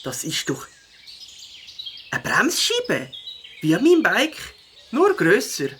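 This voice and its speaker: An eager little beaver biker from a children's audio play, acted by a woman. The delivery is expressive and very animated, with a Swiss German accent. Faint outdoor ambience and birdsong sit behind the voice. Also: medium pitch, slow, slight background noise, full character voice. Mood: annoyed, puzzled. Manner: expressive, talking to self. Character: plucky little beaver, keen young mountain biker.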